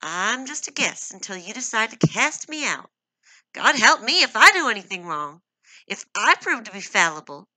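A woman's voice: mid-range voice, lively intonation, unhurried, pushed and bright, no room sound, voice pushed up, full character voice, low-quality recording. A woman reading a hurt, defiant young wife.

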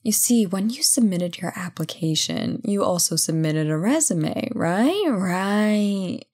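A woman playing a brisk, playful company boss in an audio roleplay job interview, chatty and full of wry asides. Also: mid-range voice, lively intonation, darkish tone. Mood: brisk, teasing. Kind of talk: ASMR roleplay, one-sided conversation.

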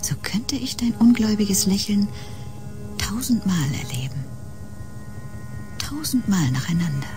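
An actress playing a wistful woman in a poetic audio drama monologue, breathy and half-whispered, brooding slowly. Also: medium pitch, sing-song. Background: music.

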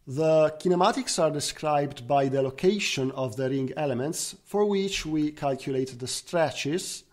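A man presenting scientific research as a conference speaker, in the style of a technical slide talk. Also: on the high side. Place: recorded online slide talk.

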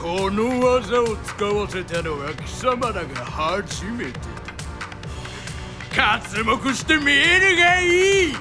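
A haughty enemy commander in an anime-style voice drama, pronouncing every line with slow, grandiose weight. The voice sits very high for a man. Loud battle music plays under him. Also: very animated, heavily acted. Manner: haughty, grandiose, chant-like declarations. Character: arrogant commanding enemy boss, middle-aged man.

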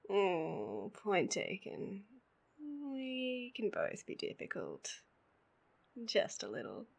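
An Australian woman playing a sleepy, needy, affectionate girlfriend in an intimate ASMR roleplay, drowsy and murmuring, with a husky, breathy voice. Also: medium pitch, wildly swooping pitch, drawn-out words, long pauses, heavily acted. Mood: teasing. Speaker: voice actor.

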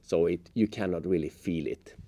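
A middle-aged man giving a casual hands-on tutorial, explaining step by step in a halting way. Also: low voice, dark tone. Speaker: host of a how-to motorcycle gear channel. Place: indoors, office workshop room at a table.

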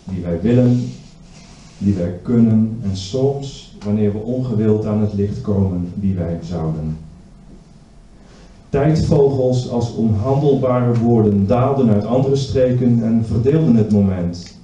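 A man's voice: on the low side, a little slow, warm tone, muffled recording, slight background noise. A middle-aged man reading a poem from paper at a stand microphone, grave and weary in manner. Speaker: poet. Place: small indoor stage with curtains. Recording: roomy distant live stage recording.

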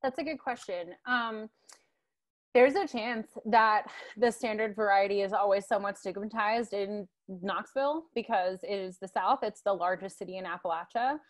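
A woman's voice: medium pitch, moderate pace. A young woman from Tennessee giving a guest lecture over a video call on an earbud headset, often filling with ums and adding "right?" to her points. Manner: frequent ums and tag questions like "right?".

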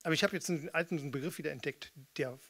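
A man's voice: a little high, rapid-fire, sharp tone. A middle-aged man from East Germany performing spoken word on stage at a close microphone. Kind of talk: stage talk and spoken-word poetry over guitar. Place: small theatre hall with red seats, indoors. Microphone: stand microphone with pop filter, close. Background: electric guitar playing.

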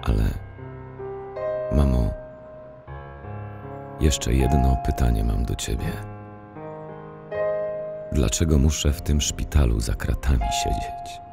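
An actor in an audio play reciting verse as an anguished psychiatric patient, brooding and deliberate, pleading with his absent mother. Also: a bass voice, vocal fry, loud music behind the voice, exaggerated voice. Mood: haunted pleading.

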